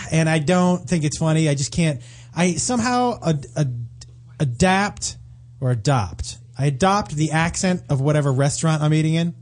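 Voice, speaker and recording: A man's voice: on the high side, lively intonation, normal speed. A man co-hosting a comedy radio talk show, sarcastic and ranting. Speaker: co-host.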